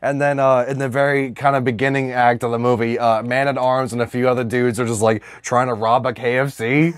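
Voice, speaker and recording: Mid-pitched man riffing on a dry-sounding podcast. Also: flat delivery, brisk, no echo. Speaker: podcast co-host. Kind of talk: casual comedic chat.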